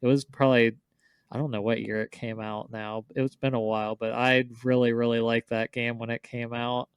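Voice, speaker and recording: Smooth, clear-voiced man chatting casually. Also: medium pitch, little pitch movement, normal speed, no room sound. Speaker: podcast co-host. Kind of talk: casual chat about games.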